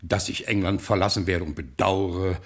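A man playing a reformed American gangster boss turned solemn gentleman in a German radio play, decisive and imperious. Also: sits low, fairly even intonation, moderate pace, slightly rough. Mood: brisk, imperious.

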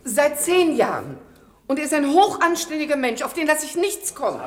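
A woman's voice: high-pitched, big pitch swings, moderate pace. An actress playing a homely, defensive widowed landlady in a German radio play. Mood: indignant. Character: plain-spoken, indignant widowed landlady, loyal to her lodger.

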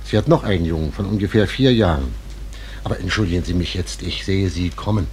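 An actor playing a talkative, matter-of-fact small-town undertaker who gossips, in a radio drama. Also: male voice, low voice, fairly quick, noisy background. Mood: matter-of-fact, gossipy. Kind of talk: theatrical radio drama acting.

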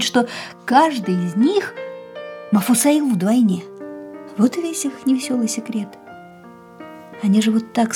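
A woman playing a willful, carefree and fatalistic young woman in a radio play, with expressive theatrical delivery. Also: mid-range voice, natural voice.

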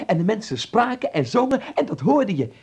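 Radio play: eager, insistent young dreamer, creaky husky voice, lively intonation, likes giving instructions. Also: male voice, sits high, brisk, full character voice. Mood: excited. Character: eager, bossy young film-mad son, stage-struck. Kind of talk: Dutch radio play acting.

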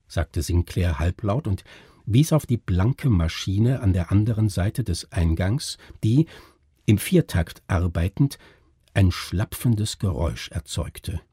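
A man's voice: fairly low pitch, dark tone, breathy edge, no echo, clean studio sound. A man narrating a literary story as a dramatic reader.

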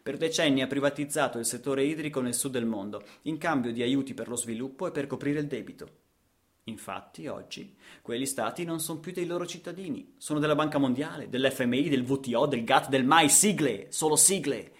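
A man's voice: mid-range voice, fairly quick. A man with an Italian accent performing a satirical radio theatre monologue, ironic and emphatic, posing rhetorical questions.

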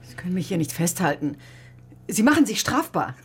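An actress playing a bitter, sharp-tongued woman doctor in a radio drama, with a sardonic, controlled, defensive manner. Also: lowish voice, sing-song, fairly quick. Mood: alarmed, pleading. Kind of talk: radio drama acting, confessional narration and dialogue.

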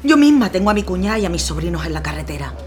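A woman playing a brisk, persuasive organizer. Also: fairly low pitch, sing-song, a crowd in the background. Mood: pleading. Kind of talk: radio drama acting.

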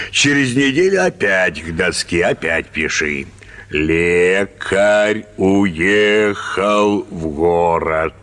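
Radio play, a cantankerous provincial grumbler, emphatic and indignant, very deliberate delivery with animated intonation. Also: male voice, fairly low pitch, full character voice. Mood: aggrieved reminiscing. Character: grumbling, opinionated provincial man railing against punctuation and spelling. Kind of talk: theatrical radio play acting of a classic short story.

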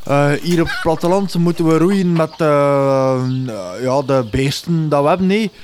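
A man's voice: fairly high pitch, normal speed, darkish tone, a little hiss or hum, natural voice. A man playing a blunt, matter-of-fact farmer with a Flemish accent and rustic dialect in a radio comedy drama.

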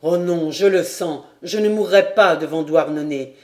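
A woman's voice: low voice, measured pace, darkish tone. A woman voicing an earnest, resolute young nobleman who declares his feelings in a storybook reading.

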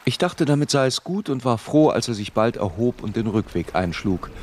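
A man giving a measured, deliberate literary narration in a radio play. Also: mid-range voice, lively intonation, darkish tone, natural voice.

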